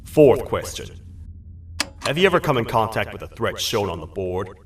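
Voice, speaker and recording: An actor playing a massive, gruff toad sheriff who talks like a stern frontier lawman. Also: fairly low pitch, very animated, normal speed, slight background noise. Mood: interrogating. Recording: echoey game audio from a distance, light music.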